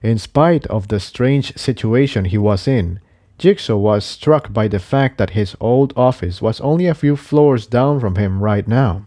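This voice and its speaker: Low, mellow male story narrator. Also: a little slow. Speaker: narrator reading fan fiction.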